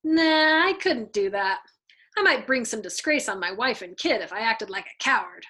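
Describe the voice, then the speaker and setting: Female narrator as nervous male airman, high pitch, eager, pleading, rambling, audiobook character. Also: animated, moderate pace, sharp tone. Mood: reluctant, fretful. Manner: eager, chatty, needy, rambling. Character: anxious, pleading airman, a reluctant family man.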